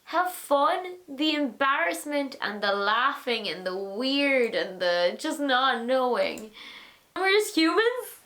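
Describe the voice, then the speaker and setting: A young Irish woman in her twenties, hosting a vlog and answering viewer questions in a frank, animated, chatty way. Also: high voice, takes it slowly. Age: 20s. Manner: animated, acts out little voices, frank and chatty. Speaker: vlogger, host of an advice series.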